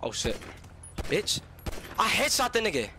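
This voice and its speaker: A man's voice: high voice, huge pitch swings, a little slow, bright, forceful tone, slight background noise. A man chatting and reacting during a game in casual slang, emphatic and often repeating himself.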